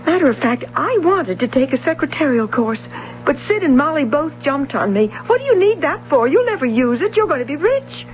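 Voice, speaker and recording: A woman's voice: high-pitched, sing-song, a little fast. An actress playing an anxious young woman, a former swimming prodigy, earnest and hesitant, in a 1970s radio drama. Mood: confessional. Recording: dull old radio broadcast, faint hum.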